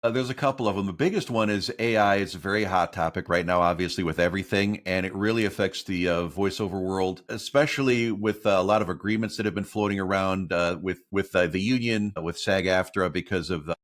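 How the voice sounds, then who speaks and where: A man's voice: deep voice, a little fast, few pauses, fairly bright tone. A middle-aged man, a voiceover artist, talking earnestly about his work as an interview guest. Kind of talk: casual interview talk.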